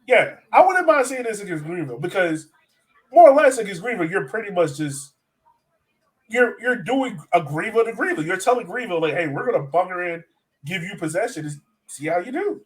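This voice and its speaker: A man's voice: sits high, big pitch swings, fairly quick, rough recording. A man hosting a casual sports fan podcast, talking in a conversational, self-questioning way. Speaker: podcast host.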